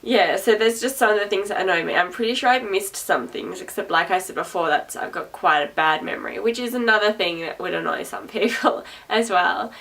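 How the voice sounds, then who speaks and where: A young adult woman talks casually to camera in a loud, projecting voice. She is sarcastic and chatty, repeats herself and laughs often. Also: mid-range voice, fairly quick, gravelly, few pauses. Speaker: vlogger. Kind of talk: rambling casual talk to camera. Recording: voice a little distant from the mic.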